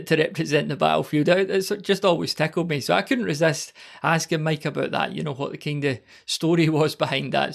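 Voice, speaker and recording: Man hosting, slightly high and creaky. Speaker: podcast host and interviewer. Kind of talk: casual podcast chat.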